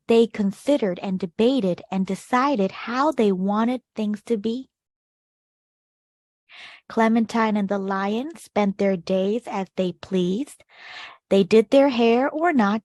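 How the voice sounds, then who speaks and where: A woman's voice: medium pitch, slow pace, a little mellow, dry recording, clean studio sound. A woman reading a picture book aloud to children as a storytime host, leaning on key words as she tells it. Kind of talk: expressive picture-book reading with short asides to young listeners.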